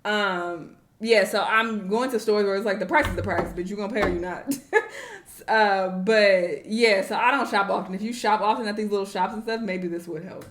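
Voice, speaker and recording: A woman's voice: medium pitch, steady tone, moderate pace. A chatty woman from the United States reacts casually, sounding tired and laughing easily. Her voice sits in the middle range, with a roomy echo around it. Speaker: reaction video host.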